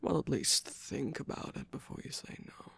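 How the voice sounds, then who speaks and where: A performer voicing a smug, seductive villainous cookie radio host in an ASMR romantic roleplay, slow, coaxing and teasing, with a breathy, gravelly voice that often drops to a whisper. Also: a bit bright, natural voice. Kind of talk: ASMR romantic roleplay, scripted character acting. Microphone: close microphone, dry.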